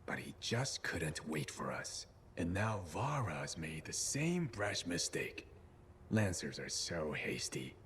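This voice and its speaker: A smug, low-voiced, swooping theatrical scientist. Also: male voice, very animated, takes it slowly, a bit bright, a little hiss or hum, full character voice. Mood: smug, taunting. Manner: mocking, patronizing, theatrical. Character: smug, theatrical captive male scientist, eccentric and slippery. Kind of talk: video game cutscene acting.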